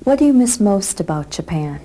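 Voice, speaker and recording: A woman presenting a lesson, asking interview questions in a clear, measured way. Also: medium pitch, big pitch swings, warm tone. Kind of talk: scripted educational interview.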